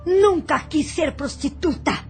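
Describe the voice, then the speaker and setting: A woman's voice: high voice, animated, unhurried, darkish tone, soft background music. An actress playing a bitter, vengeful woman who threatens and taunts a former lover, in an audio drama. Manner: threatening, spiteful, scornful.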